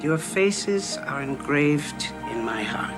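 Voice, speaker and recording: An actress playing an elderly, humble woman who sheltered refugees in wartime, speaking with an Austrian German accent in a soft, earnest, measured way. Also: sits low, expressive, music in the background. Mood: tender. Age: older. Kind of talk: film drama.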